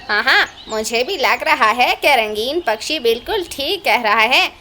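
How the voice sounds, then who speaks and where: A woman's voice: a little high, animated, unhurried, bright, forceful tone, a little hiss or hum. A woman voicing an exclamatory male bird who addresses his flock in a children's cartoon, declaiming as he goes. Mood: alarmed, puzzled. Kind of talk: Hindi children's cartoon dubbing.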